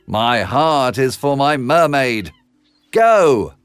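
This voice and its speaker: A man's voice: medium pitch, animated, drawn-out words, clear, resonant tone, no room sound, soft background music, pitched above the performer's own voice. Man as an impatient, emphatic lovestruck fisherman. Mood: dismissive, angry. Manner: emphatic, curt, dismissive. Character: impatient, lovestruck male fisherman, dismissive of his soul. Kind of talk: bedtime storybook character voice.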